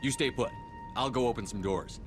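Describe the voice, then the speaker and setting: An actor playing a resolute military commander in a sci-fi video game, terse and businesslike. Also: male voice, mid-range voice, brisk, music in the background, natural voice. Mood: firm, inquiring. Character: resolute male military commander, adult, space soldier.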